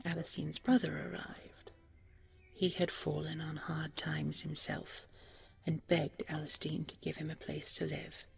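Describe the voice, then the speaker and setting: A woman reading a fairy tale in a gentle, hushed bedtime manner that often drops close to a whisper. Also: deep voice. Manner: gentle, hushed, breathy delivery often falling to near-whisper. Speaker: storyteller, audio roleplay voice actor. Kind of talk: scripted fairy-tale narration, soft sleep-aid bedtime storytelling.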